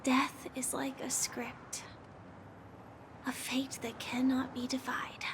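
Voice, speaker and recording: High, hushed, wistful young warrior girl. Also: monotone, whispered. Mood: solemn, resigned. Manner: slow, wistful, often hushed and breathy delivery. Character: gentle, wistful young woman warrior facing her own death. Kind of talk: solemn video game drama voice acting. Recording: loud music behind the voice.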